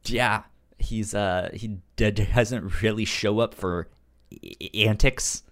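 A man's voice: lowish voice, slow pace, a bit bright. A man co-hosting a podcast, casually discussing comic books, with a stutter that repeats sounds and syllables. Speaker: podcast co-host.

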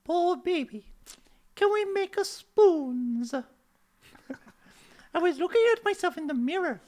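A woman voicing a petite, excitable girlfriend in a comic dramatic reading, playful and petulant in broken English. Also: very high voice, takes it slowly, voice pushed up, full character voice. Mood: playful, wistful.

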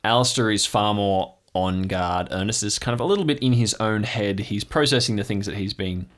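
Male game master, low-pitched, measured narration for an improvised tabletop audio adventure.

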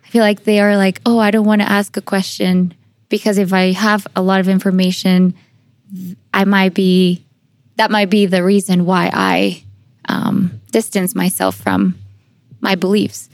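A woman's voice: medium pitch, a little slow, no room sound. A young adult woman interviewing casually on a podcast, with tentative, informal and hesitant phrasing.

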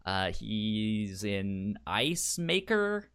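A man co-hosting a casual book-club podcast, talking in a halting way with many restarts. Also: on the low side, sing-song, fairly bright tone, clean tone. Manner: halting, repeats and restarts words. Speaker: podcast co-host.

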